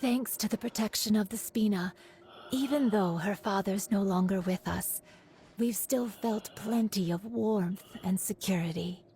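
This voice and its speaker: Lady voicing a mellow, gentle widowed mom in a game, grateful. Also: mid-range voice, unhurried, soft background music, close to an everyday voice.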